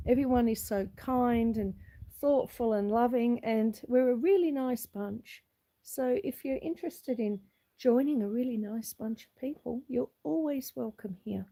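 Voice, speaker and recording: Husky-voiced Australian woman with a cold. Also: fairly high pitch, a little slow, dark tone, low-quality recording. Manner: chatty, greeting viewers one by one. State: hoarse from a cold. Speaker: homestead vlogger hosting a live stream. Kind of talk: casual live-stream chat. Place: outdoors on a rural homestead. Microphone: phone camera.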